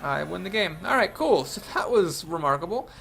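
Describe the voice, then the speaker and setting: A man's voice: high voice, animated, moderate pace, creaky, fairly bright tone. A young man with a congested voice from a cold, thinking aloud as he commentates his own card game. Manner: analytical, thinks aloud about plays. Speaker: game streamer.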